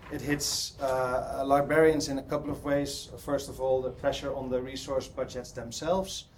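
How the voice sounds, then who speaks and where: A man's voice: mid-range voice, flat delivery, normal speed, slight background noise. A man from the Netherlands with a Dutch accent, giving a hesitant conference presentation full of ums and restarts. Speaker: conference speaker. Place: conference hall.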